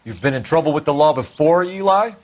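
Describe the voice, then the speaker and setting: A man's voice: fairly high pitch, animated, fairly quick, lightly acted. A man playing an earnest, authoritative police detective in a game, clipped and formal, with an American accent. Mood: probing, stern.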